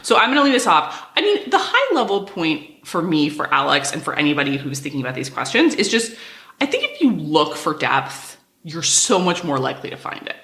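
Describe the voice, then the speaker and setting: A woman in her thirties hosts a podcast in a lively, animated way, her pitch swinging widely. Her voice is low-pitched yet bright and forceful, and it rings in an echoey room. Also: fairly quick. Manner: animated, laughs often. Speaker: podcast host. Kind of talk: casual podcast chat.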